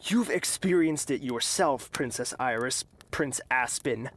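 A woman's voice: very deep voice, animated, measured pace, full character voice. A woman playing a haughty, scheming young prince in an audio drama, cool and arrogant. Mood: smug, cold.

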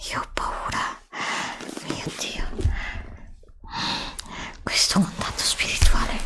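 A woman acting a frightened, anguished young student in a whispered ASMR roleplay, breathy, halting and dramatic, repeating herself, close to the microphone. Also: mid-range voice. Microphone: close desktop microphone.

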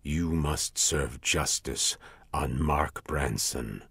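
An actor playing an ominous, commanding shadowy apparition out for vengeance in a horror radio drama, with a deep gravelly voice. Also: male voice, fairly bright tone, no echo, heavily acted. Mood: menacing. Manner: slow, commanding.

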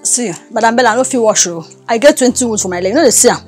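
A lazy, cheeky Nigerian housemaid in a comic screen drama. She talks fast in a fairly high voice. She is unbothered, argumentative and always complaining. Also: a little mellow, husky, faint music behind. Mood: whining excuses.

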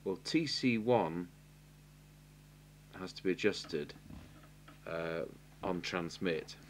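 A middle-aged man with an English accent gives an unscripted hands-on technical tutorial, halting and matter-of-fact as he thinks aloud while working. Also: low voice. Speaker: radio repair technician giving a demonstration. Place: indoor electronics workbench. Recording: clear hiss and electrical hum.

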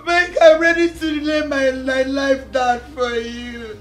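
A woman's voice: fairly high pitch, takes it slowly, distant mic. A woman acting in a film drama as a heartbroken, betrayed mother, plaintive and pleading. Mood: anguished, pleading. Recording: roomy echo, faint music underneath.

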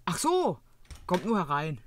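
A woman's voice: fairly low pitch, wildly swooping pitch, unhurried, background noise. A performer voicing a blunt, colloquial, slovenly mother of grown sons, a woman in an audio drama parody. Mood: gruff.

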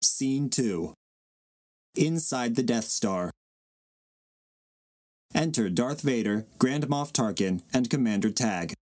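A man's voice: medium pitch, expressive, takes it slowly, pauses often, darkish tone, no echo, studio quality. Male narrator with a mid-range voice, reading stage directions for an audio play. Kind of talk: scripted narration.

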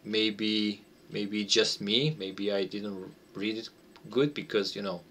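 Bright-voiced, low-pitched man. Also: level tone, takes it slowly. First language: not English. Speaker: board game designer playtesting a game. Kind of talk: casual unscripted game walkthrough. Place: small room at home, indoors.

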